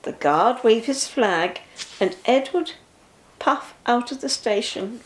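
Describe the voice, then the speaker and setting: An older woman reads a children's story as a grandmother would. Her voice is fairly high and lively, and she takes her time. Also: slow, rough recording. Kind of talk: expressive children's storybook narration.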